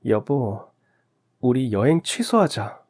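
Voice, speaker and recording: A man voicing a young newlywed wife, anxious and earnest, in a gentle pleading manner. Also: mid-range voice, big pitch swings, slow pace, slightly rough, exaggerated voice.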